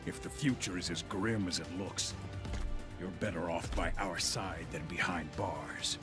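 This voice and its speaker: Very deep, stern hero. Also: male voice, measured pace. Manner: grave, firm. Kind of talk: video game cutscene acting. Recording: loud music over the voice.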